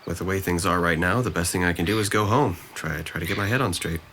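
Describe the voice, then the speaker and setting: A young man playing a depressed, self-blaming young man in a drama, speaking haltingly and subdued. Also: deep voice, a bit bright, slight background noise. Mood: apologetic, subdued. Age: young adult. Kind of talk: naturalistic dramatic dialogue.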